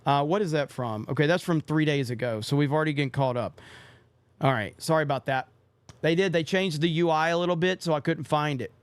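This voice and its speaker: A man's voice: a little high, a little fast, clear, resonant tone, no echo. A young man hosting a casual livestream, reading out viewer donations and cursing offhandedly. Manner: reads viewer donations aloud, swears casually. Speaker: livestream podcast host.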